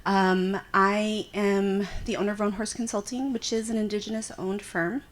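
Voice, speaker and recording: A woman with a medium-pitched voice introduces herself on a conference luncheon stage. She speaks in a measured, composed manner, with occasional ums. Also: steady tone. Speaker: company owner and panel speaker. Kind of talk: panel self-introduction.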